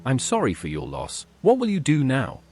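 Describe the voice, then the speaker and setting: A synthetic voice playing a calm, polite male hero in a video game, giving plain dialogue replies. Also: mid-range voice, normal speed, a little hiss or hum. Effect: text-to-speech voice.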